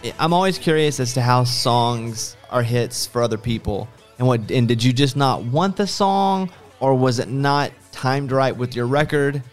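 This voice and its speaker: A man's voice: medium pitch, normal speed, few pauses, soft background music. A man hosting a podcast, chatting casually and acting out little imagined quotes as he talks. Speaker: podcast host. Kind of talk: casual interview chat.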